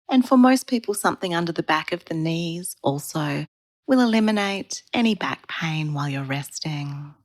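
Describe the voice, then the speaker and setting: A woman guiding a relaxation practice as a yoga therapist, speaking in a calm, soothing, unhurried manner with pauses. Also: lowish voice, dry recording. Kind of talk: guided meditation narration.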